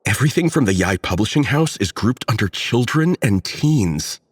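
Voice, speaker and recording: A man's voice: lowish voice, moderate pace, slightly rough, no room sound. A man voicing an earnest, bookish and enthusiastic young fan in an anime-style video game dub. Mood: geeky, earnest. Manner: earnest, excitable.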